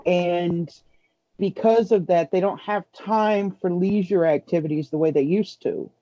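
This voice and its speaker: A man's voice: high-pitched, unhurried, mellow, no room sound. A man explaining casually as a podcast co-host, on a dull lo-fi recording. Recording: dull lo-fi sound.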